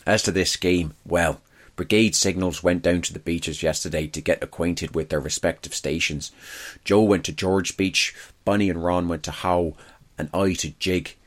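Deep-voiced male diary reader. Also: fairly even intonation, moderate pace. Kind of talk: scripted first-person diary reading.

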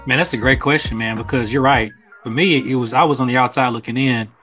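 An American man talking casually and thoughtfully on a podcast, explaining himself at length in measured phrases. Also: medium pitch, a bit bright, soft background music. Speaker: podcast co-host appearing as guest. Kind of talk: casual reflective podcast conversation.

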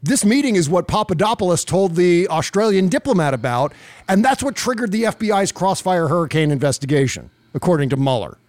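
A man's voice: high-pitched, animated, a little fast, darkish tone, no echo. A man hosting casual political commentary in a sarcastic, exasperated and profane way. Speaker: podcast host.

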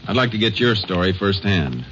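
An actor playing a calm Texas Ranger in a 1950s radio crime drama, with a clipped, matter-of-fact American delivery. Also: male voice, sits low, normal speed. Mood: businesslike, probing. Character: calm, methodical male Texas Ranger investigator and narrator. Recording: muffled, noisy old radio recording.

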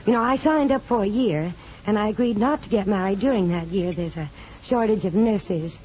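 A woman's voice: mid-range voice, normal speed, warm tone, close to an everyday voice. A woman playing an anxious, affectionate young nurse, hesitant and earnest, in 1950s radio drama style. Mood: tender, pleading. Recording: dull, noisy 1950s radio recording.

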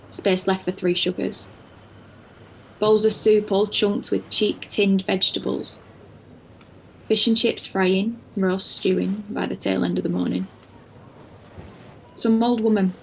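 A woman's voice: mid-range voice, vocal fry, a little hiss or hum, low-quality recording. An actress playing a weary cafe waitress, telling her day in a blunt, earthy way. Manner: blunt, earthy, matter-of-fact. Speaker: cafe worker narrating. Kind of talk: first-person dramatic monologue.

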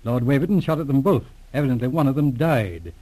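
A man's voice: mid-range voice, lively intonation, quick pace, dark tone, close to an everyday voice. A man playing a shrewd, unflappable police inspector turned private detective in a radio drama, speaking in a wry, matter-of-fact way. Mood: confiding, thoughtful. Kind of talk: 1940s radio drama acting.

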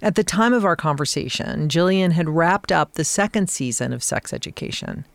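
A woman's voice: lowish voice, expressive, moderate pace, gravelly, dry recording. A woman hosting a podcast, reading an upbeat intro and chatting with her guest. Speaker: podcast host. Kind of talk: interview and scripted intro.

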